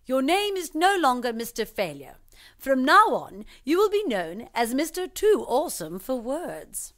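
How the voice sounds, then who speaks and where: A woman's voice: high voice, huge pitch swings, a little slow, a bit bright, voice pushed up, full character voice. A woman playing a pompous male storybook official who makes grand, declamatory proclamations. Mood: loud declaring.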